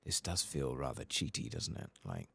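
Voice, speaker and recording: Male gaming streamer, soft-spoken and half-whispered, gravelly with lively swings in pitch, casually sweary running murmur. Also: moderate pace, dry recording. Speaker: streamer playing a video game.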